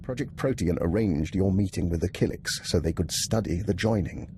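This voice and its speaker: Man, older imperial scientist, low voice, dry wit, formal, faint noise behind. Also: moderate pace, darkish tone. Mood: grave, precise. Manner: formal, wry, precise. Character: shrewd, dry-witted older male imperial scientist spy. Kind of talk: video game cutscene acting.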